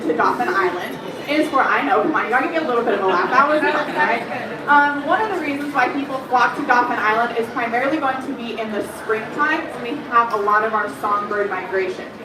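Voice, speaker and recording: A woman giving a lively, chatty talk on coastal birds to a live audience, asking the crowd questions and filling gaps with ums. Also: fairly high pitch. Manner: says she has a loud voice. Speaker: bird conservation educator. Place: room at an aquarium. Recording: echoey room, far from the mic, background noise.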